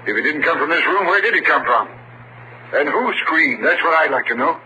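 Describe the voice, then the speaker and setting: A man playing a steady middle-aged American small-town sheriff, measured and serious, in 1940s radio drama style. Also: fairly high pitch, lightly acted. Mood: puzzled, serious. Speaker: lead actor and narrator. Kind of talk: 1940s radio acting and first-person narration. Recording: noisy old radio drama recording.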